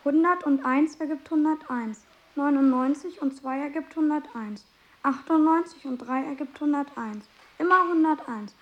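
Woman playing a calm eight-year-old boy genius. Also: high-pitched, normal speed, lo-fi sound, lightly acted. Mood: calm, matter-of-fact. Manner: calm, plain, matter-of-fact. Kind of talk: radio play acting.

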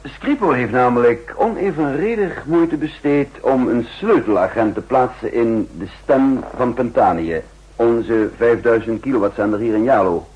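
An actor playing a shrewd, insistent counter-espionage chief in a 1960s radio drama, speaking formally and emphatically with a husky voice. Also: male voice, mid-range voice, moderate pace, close to an everyday voice. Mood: urgent, confident. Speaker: narrator and main character. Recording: muffled old 1960s radio recording.

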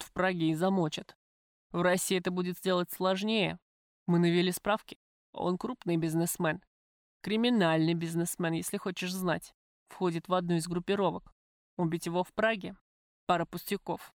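A casually menacing gangster , read by a woman narrator, cool and cynical. The delivery is offhand and slangy, slightly lowered, in a dry audiobook recording. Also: steady tone, moderate pace, dry recording, close to an everyday voice. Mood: matter-of-fact.

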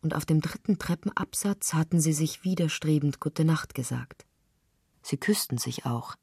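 A woman narrating literary fiction for radio in a measured, deliberate storytelling manner. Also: low voice, expressive, darkish tone, breathy edge, dry recording, studio quality.

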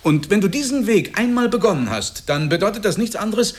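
A man playing a smug, preachy husband in a radio play, effusive and insistent, lecturing in a slightly husky voice. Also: high voice, expressive, brisk. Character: Michael, smug, preachy husband proud of his home.

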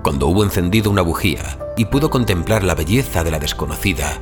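A man narrating literary fiction for an audiobook in a measured, grave, theatrical manner. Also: deep voice, animated. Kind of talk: scripted dramatic literary narration. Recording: clear music bed behind narration.

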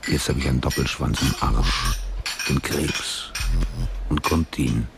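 An actor playing a bitter, cornered, sick gangster in a crime radio drama, talking in a coarse, street-tough way. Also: male voice, rumbling low, fairly even intonation, takes it slowly, vocal fry, exaggerated voice. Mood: bitter, resigned. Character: bitter, cornered, terminally ill male gangster. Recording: traffic and car ambience noise.

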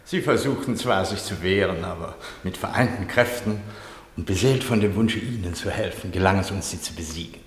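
A man in a radio play acting a gallant, indignant gentleman rescuer who speaks in florid, formal courtesies. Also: low-pitched, fairly quick, close to an everyday voice. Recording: echoey, reverberant radio play sound.

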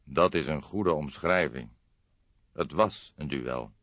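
A man voicing a grey-haired veteran police detective in an audiobook, calm, pensive and measured, explaining things with solemn deliberation. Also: rumbling low, exaggerated voice. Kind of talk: audiobook character dialogue. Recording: dull lo-fi audiobook, roomy echo.

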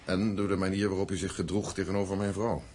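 Old man, low husky voice, stern, embittered, formal and measured, radio drama. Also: little pitch movement, darkish tone. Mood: bitter, cool. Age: older.

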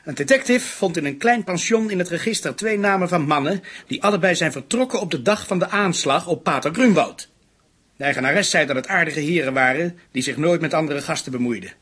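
An actor playing a dutiful, earnest police assistant in a radio drama, precise in his reporting, with a husky voice. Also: fairly high pitch, expressive, brisk, a bit bright.

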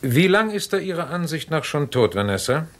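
An actor playing a calm, polite amateur investigator in a radio crime drama, speaking methodically. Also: male voice, fairly high pitch, moderate pace, a little hiss or hum. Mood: inquiring.

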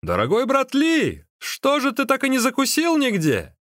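Man voicing a sly, teasing, high-pitched sorcerer. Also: sing-song, normal speed, dry recording, clean studio sound. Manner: mock-earnest, scolding and teasing. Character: sly teasing male sorcerer, feigning alarm to trick his companion.

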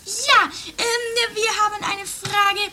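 A woman's voice: very high voice, flat delivery, takes it slowly, background noise. A woman playing a flighty, theatrical, breezily unrepentant lady in a trashy comedy radio play, stammering and exaggerating, over music. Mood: hesitant pleading. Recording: music under the voice.

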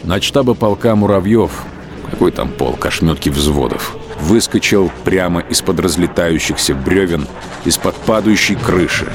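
A man giving a measured, dramatic literary narration of a war story for an audio drama. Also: deep voice, music in the background.

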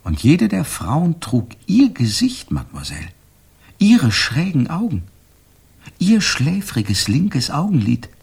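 An actor playing a brooding, jealous man with a husky, breathy voice, formally recalling a night in a radio crime drama. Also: on the high side, wildly swooping pitch, slow, darkish tone, heavily acted. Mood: haunted recollection.

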